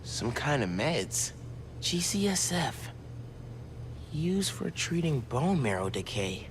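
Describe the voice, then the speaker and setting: An actor playing an earnest young American superhero, thinking aloud in casual, clipped phrases as in a video game. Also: male voice, fairly high pitch, big pitch swings, slow pace, background noise. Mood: pensive, focused. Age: young adult. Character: earnest, curious teenage male superhero investigating. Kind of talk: video game voice acting, self-talk while exploring. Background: background music.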